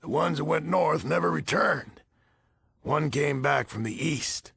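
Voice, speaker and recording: A weary, gruff old American leader of a military order in a video game. His voice is very gravelly and husky, pitched a little high for a man, with a hard forceful edge. He talks in a blunt, folksy grumble, recorded close and dry. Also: unhurried, full character voice. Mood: weary, grumbling. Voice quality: gravelly, raspy. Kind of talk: video game character dialogue.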